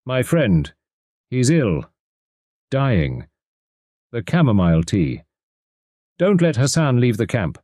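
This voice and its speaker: A man's voice: mid-range voice, sing-song, slow pace, long pauses, dark tone, no room sound, voice pushed up. Earnest, wistful Englishman, voiced by the narrator, a little lifted, dry close read. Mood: urgent.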